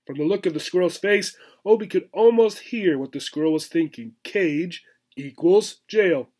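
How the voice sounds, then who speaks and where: Male teacher-reader, slightly high pitch, narrating a children's story expressively. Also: lively intonation, fairly quick, mellow. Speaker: teacher reading a children's book aloud. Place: small furnished room indoors.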